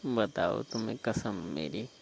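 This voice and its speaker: A man's voice: on the low side, expressive, unhurried, vocal fry, noisy background. A man playing a flirtatious, pushy village brother-in-law on a staged night phone call, coaxing informally and persistently with a Rajasthani accent. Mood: casual, flirtatious. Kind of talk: staged phone-call role-play in casual talk.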